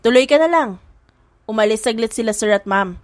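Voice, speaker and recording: A woman's voice: a little high, expressive, fairly quick, no echo, natural voice. A woman voicing a smiling, welcoming male security guard in an audiobook drama.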